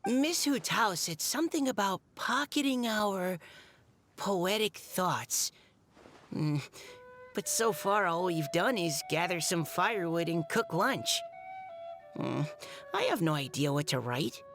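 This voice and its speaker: A woman's voice: fairly low pitch, expressive, slow pace, a bit bright, soft background music, heavily acted. A woman voicing a modest, earnest teenage boy soldier in a video game, sincere, polite and a little hesitant.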